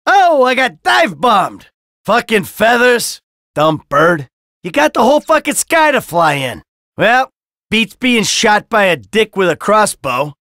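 A sarcastic, short-fused middle-aged American man from a video game. His delivery is forceful and animated, yet unhurried, with an exasperated bite. The recording is close and dry. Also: high voice, no echo, exaggerated voice. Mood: agitated, shouting. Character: sarcastic, hot-tempered middle-aged man in midlife crisis. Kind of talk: video game character barks.

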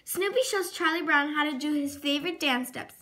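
Bright, high-pitched female storybook reader. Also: fairly quick. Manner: expressive, stumbles and corrects words while reading. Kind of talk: reading a children's storybook aloud.

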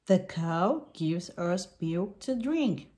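A woman reading a picture book aloud for small children in simple, deliberate sentences. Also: fairly low pitch, expressive, warm tone, slight background noise.

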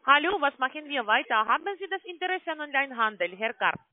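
A very high-voiced man comes through a tinny phone line. He has a foreign accent and works through a sales pitch haltingly, often asking the other person to repeat. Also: big pitch swings, no room sound. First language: not German. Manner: halting, often asks for repetition. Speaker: call-centre sales agent.

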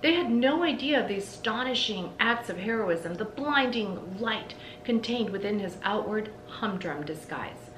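A middle-aged woman reads a children's story aloud with expressive, deliberate phrasing. Her pitch is medium. The room adds noticeable echo, and a faint rumble sits underneath. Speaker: teacher reading aloud to children. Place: indoors in a room with bookshelves.

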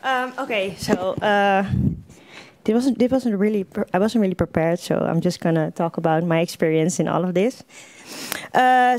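A woman's voice: medium pitch, a little mellow, dry recording. A woman, talking unprepared at a podium microphone, loose and full of "like" fillers. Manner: loose, with many "like" fillers and restarts. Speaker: panel speaker. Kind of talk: unprepared casual talk. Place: lecture hall, at a podium.

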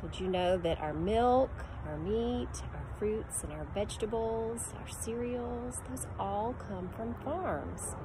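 A woman's voice: a little high, slow pace, few pauses, background noise. A middle-aged woman, a preschool teacher, reading a picture book to children in a sing-song voice, sometimes making animal sounds, recorded outdoors on a phone camera. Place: outdoor deck in a backyard. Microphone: phone camera at arm's length.

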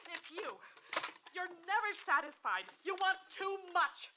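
High-voiced anxious mother, old radio drama. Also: very animated, measured pace, full character voice. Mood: resentful. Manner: emotional, sharp, theatrical. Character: anxious, bickering young mother and resentful wife. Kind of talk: 1950s radio drama acting. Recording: muffled, noisy 1950s radio recording.

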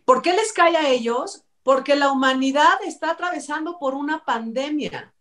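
High-voiced, insistent Mexican woman. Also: normal speed, a bit bright. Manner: emphatic, insistent, argumentative. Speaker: co-host commentator. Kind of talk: opinionated discussion. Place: indoors, on a video call. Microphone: video call.

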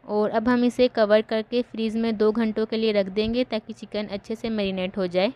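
A woman host giving calm, step-by-step voice-over narration for a home cooking recipe. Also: on the high side, moderate pace, clear, resonant tone.

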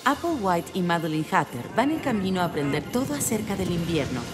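Lively low-voiced storybook narrator. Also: female voice, fairly quick. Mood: bright storytelling. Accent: Latin American. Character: storybook narrator of a fairy-tale cartoon. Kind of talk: scripted cartoon narration in storybook manner. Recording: music behind the voice.

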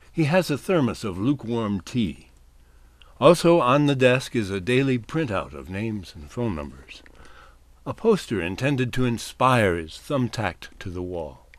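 Slow, expressive man telling a wry story. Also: fairly low pitch, dry recording. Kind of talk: comic monologue, scripted storytelling.

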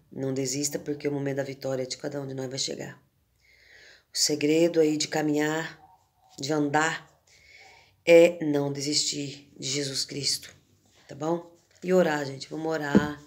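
A hoarse woman in her fifties from Brazil speaks at a slow, unhurried pace, her voice rough from a cold. She talks to her viewers in a homely, devotional way, often turning to them directly. The sound comes from a phone held at arm's length in a room at home. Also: low-pitched, a little mellow. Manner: slow, homely talk with frequent "né" and "gente". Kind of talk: casual devotional chat to viewers. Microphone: phone camera at arm's length.

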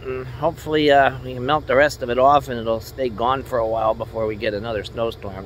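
An American man, a truck driver, talking casually and unscripted to camera in a rambling, plainspoken way. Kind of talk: casual vlog narration to camera. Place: outdoors by trucks and in a moving truck cab. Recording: noisy outdoor and truck cab, engine rumble. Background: vehicle and engine noise.

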